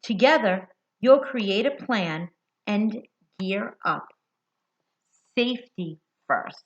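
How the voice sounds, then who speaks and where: An American woman reading a picture book aloud to young children, expressive and deliberate, putting questions to her listeners. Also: mid-range voice. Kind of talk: children's read-aloud storytelling. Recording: dull lo-fi sound.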